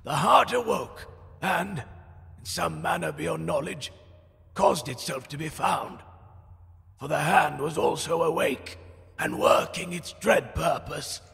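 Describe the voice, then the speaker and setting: An actor playing an old, grave and urgent male seer in a fantasy audio drama, with a raspy voice and a portentous, archaic delivery. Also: a little high, takes it slowly, fairly bright tone, no echo, clean studio sound, full character voice. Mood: solemn. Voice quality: raspy, creaky.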